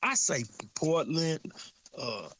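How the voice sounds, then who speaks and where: A man with a Southern country accent co-hosting a casual sports talk show, getting animated as he talks. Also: slow pace. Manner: animated, excitable, rises high when hyped. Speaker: podcast co-host.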